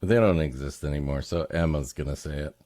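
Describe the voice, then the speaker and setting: A man's voice: extremely low pitch, a little fast, dark tone, no room sound, clean studio sound, faint music behind. A man hosting a comedy podcast, reading an old story aloud and breaking off for playful, joking banter.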